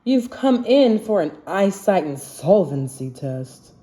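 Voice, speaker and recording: A woman reading a rhyming children's storybook aloud in an expressive, measured manner. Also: mid-range voice, slight background noise. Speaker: children's book reader.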